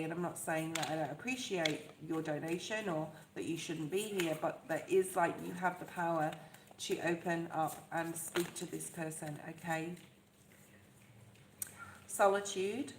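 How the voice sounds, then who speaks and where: A soft-voiced woman giving a live tarot reading, talking in a chatty, reassuring way and often checking in with "okay?". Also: lowish voice. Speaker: tarot reader. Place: outdoors in the rain. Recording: echoey, with loud rain noise. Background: rain noise.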